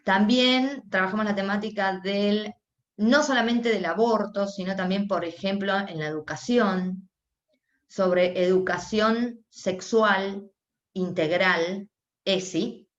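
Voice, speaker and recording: A woman with an Argentine accent gives live spoken interpretation for a deaf panelist at a discussion. She speaks haltingly, often pausing and restarting. The recording sounds roomy and muffled, like lo-fi audio from an online talk. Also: medium pitch. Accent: Argentine Spanish. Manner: halting, with frequent restarts and pauses while interpreting. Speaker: sign language interpreter voicing a deaf panelist.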